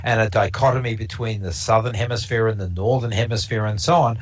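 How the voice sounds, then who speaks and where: A man's voice: on the low side, fairly even intonation, fairly quick. Rambling male presenter, dull lo-fi audio. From Canada. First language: not English. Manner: rambling with frequent fillers and restarts. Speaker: observatory talk presenter. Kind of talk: casual explanatory talk. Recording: slightly dull lo-fi stream audio.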